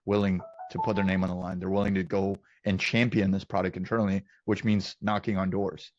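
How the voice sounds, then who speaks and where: A man's voice: deep voice, little pitch movement, brisk, highs cut off, background noise. A man hosting a casual business interview, talking in an encouraging, affirming way. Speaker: interview host, company founder.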